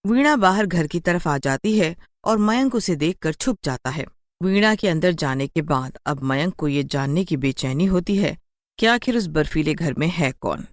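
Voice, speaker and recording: A woman narrates a scripted children's horror story. Her voice sits a little low, and the recording is close and dry with no room sound. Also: expressive, normal speed, no room sound.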